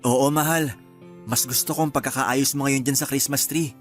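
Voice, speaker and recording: A man's voice: mid-range voice, steady tone, moderate pace, soft background music, close to an everyday voice. A man with a Filipino accent playing an agreeable, affectionate young husband in a radio-style drama.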